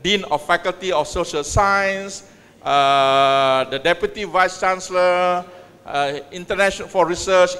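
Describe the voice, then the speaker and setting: A middle-aged man, giving a measured conference talk as a university head and taking questions, with frequent pauses. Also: sits high, fairly bright tone, clear, resonant tone. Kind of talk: conference speech and Q&A moderation. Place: large university hall. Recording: long hall reverb.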